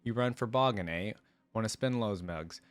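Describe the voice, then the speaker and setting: A man's voice: lowish voice, normal speed, clean tone, no room sound, close to an everyday voice. A man reading the part of a menacing street gang thug from a story, with slangy taunts. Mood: threatening.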